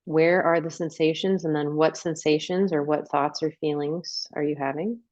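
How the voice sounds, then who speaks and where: A woman leading a guided meditation as a coach, giving gentle instructions and unhurried invitations with pauses. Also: deep voice, fairly even intonation, no echo, muffled recording.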